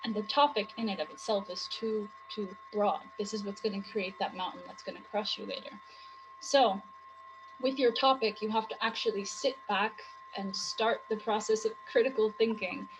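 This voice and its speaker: A woman's voice: medium pitch, steady tone, normal speed, dull audio, background noise. A woman writing tutor, losing her voice, explaining in long run-on sentences full of rhetorical questions. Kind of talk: conversational teaching talk.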